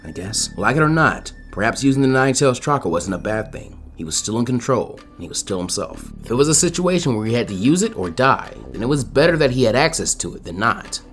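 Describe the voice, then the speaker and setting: A man reading fan fiction as an expressive narrator. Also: medium pitch, brisk, few pauses. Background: faint music.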